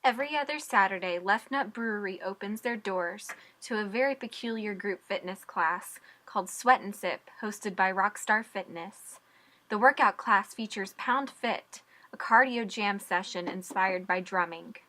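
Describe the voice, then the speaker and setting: A young woman reporting the news, with stand-ups on location and voice-over narration in a clear, measured delivery. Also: medium pitch, sharp tone.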